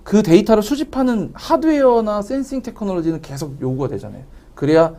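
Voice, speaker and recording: A man's voice: high-pitched, very animated, fairly quick, mellow. A man explaining technical material as a company representative in a webinar interview.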